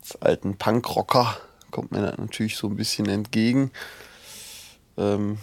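A man's voice: lowish voice, level tone, moderate pace, creaky, dry recording. A man in his twenties, a student and journalist, recording a casual, hesitant audio diary full of fillers and restarts. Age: 20s. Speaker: student and journalist trying a self-help book.

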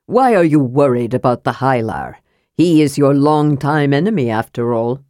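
Storybook ancient dwarf, male, calm and mysterious, strong low voice, mild manner; played by a female narrator. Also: moderate pace, no room sound, studio quality. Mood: questioning. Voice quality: strong, deep, youthful.

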